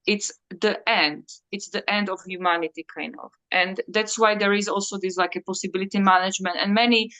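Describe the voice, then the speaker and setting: A woman whose first language is Slovak, talking in a reflective interview and often pausing and searching for words. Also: medium pitch, fairly even intonation, pushed and bright. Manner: halting, searching for words, many fillers. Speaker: interview guest.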